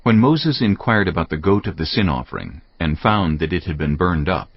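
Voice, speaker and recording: A man narrating scripture dramatically, with solemn, deliberate and emphatic delivery. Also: deep voice, sing-song, muffled recording. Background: prominent background music.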